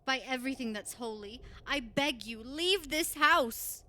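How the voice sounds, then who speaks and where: A woman's voice: high voice, a little slow, pushed and bright. An actress playing a superstitious, foreboding woman in an old-time radio horror drama, ominous and pleading. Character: foreboding, superstitious woman warning of ancient evil.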